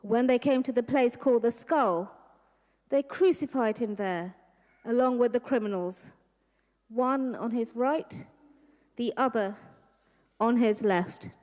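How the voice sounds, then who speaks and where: A woman giving a measured, scripted reading of scripture, phrase by phrase, in a Passion dramatisation. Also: fairly high pitch, darkish tone. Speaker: Passion reader. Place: large church hall, live reading. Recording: echoey large church hall.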